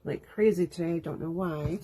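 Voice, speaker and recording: Woman, stuffy nose from allergies, chatty step-by-step crafting talk, lowish voice, faint background hiss. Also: normal speed. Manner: chatty, explains each step as she works. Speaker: crafting host. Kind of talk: live card-making demonstration. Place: home craft room. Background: dog dragging its bed around.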